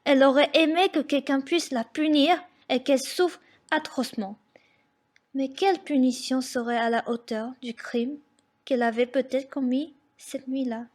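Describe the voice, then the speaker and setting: A woman's voice: sits high, steady tone, normal speed. A woman reading scripted literary narration for a radio drama.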